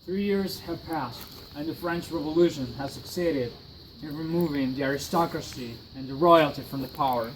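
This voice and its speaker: Man acting exiled French gentleman, high-ish male voice, earnest, formal monologue, literary drama, slight background noise. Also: natural voice. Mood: concerned, resolute. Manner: formal, deliberate storytelling. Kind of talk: scripted dramatic monologue of a classic novel. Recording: faint background noise, amateur recording.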